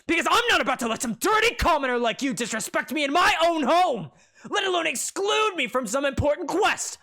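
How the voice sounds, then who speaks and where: Someone voicing a haughty young prince. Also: male voice, sits high, sing-song, moderate pace, pitched above the performer's own voice. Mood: defensive. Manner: huffy, sneering and indignant.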